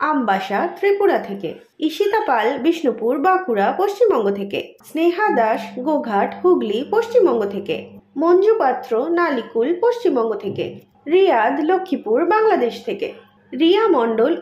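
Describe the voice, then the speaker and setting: A woman's voice: high voice, darkish tone. A woman announcer reading a scripted viewer quiz announcement in an echoey voice-over.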